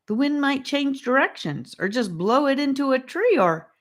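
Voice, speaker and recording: Woman doing a high pushy little boy, frustrated. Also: animated, normal speed. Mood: disbelieving. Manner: impatient, exclaiming. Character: excitable frustrated young boy.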